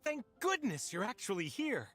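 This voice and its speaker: A woman's voice: on the high side, big pitch swings, moderate pace, music in the background. A woman playing an earnest, respectful messenger in a hurry in an anime-style game. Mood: urgent, relieved.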